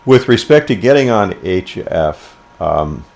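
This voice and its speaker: An older American man giving an informal explanatory tutorial as an instructor, unhurried, with halting pauses. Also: on the low side, big pitch swings, gravelly, a little mellow. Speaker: ham radio instructor.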